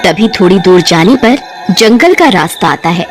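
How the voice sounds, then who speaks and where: A woman's voice: lowish voice, animated, normal speed, music in the background. A woman reading scripted narration for a cartoon ghost story.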